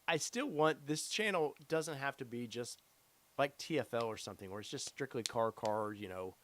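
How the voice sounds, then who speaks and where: A man's voice: mid-range voice, expressive, normal speed, fairly bright tone, slight background noise. A middle-aged man hosting a casual live stream, reading out viewer comments with lots of fillers, his voice getting tired and worn. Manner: rambling, many fillers, reads chat aloud. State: losing his voice. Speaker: podcast host.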